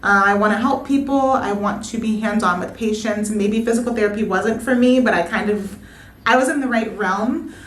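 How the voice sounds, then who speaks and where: A woman's voice: mid-range voice, moderate pace, echoey room, rough recording. A young woman, a nursing student, chatting casually on her vlog while coming down with a sore throat. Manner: chatty, candid, self-deprecating. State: getting sick, sore throat. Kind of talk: casual coffee-and-chat vlog.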